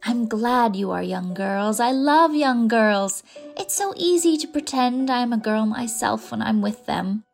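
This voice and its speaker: A woman's voice: on the high side, lively intonation, unhurried, creaky, soft background music. A woman reading as a whimsical forty-five-year-old lady. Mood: warm. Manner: fond, playful, pretends freely. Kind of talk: audiobook character reading.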